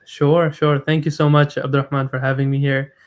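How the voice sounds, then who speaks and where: A man in his thirties who grew up in the United States, a guest telling his story in a relaxed live stream interview with frequent fillers. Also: medium pitch, a little fast. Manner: frequent filler sounds, thoughtful and modest. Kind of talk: conversational interview.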